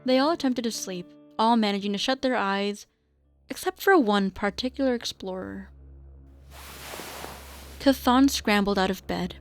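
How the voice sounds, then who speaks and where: A woman reading scripted narration for a radio drama as the storyteller. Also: mid-range voice. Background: music.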